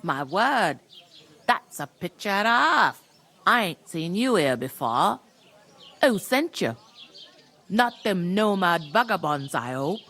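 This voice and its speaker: A woman's voice: lowish voice, very animated, slow pace, long pauses, fairly bright tone, heavily acted. An actress playing a suspicious, folksy serving woman with rustic speech in a medieval video game. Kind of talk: video game cutscene acting.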